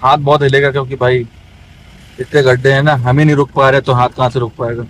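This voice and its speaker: A man narrating a casual travel vlog outdoors and from a jeep, chatty and excited, joking with his companions. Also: mid-range voice, fast, poor audio. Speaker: travel vlogger. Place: outdoors and in a jeep on a bumpy road.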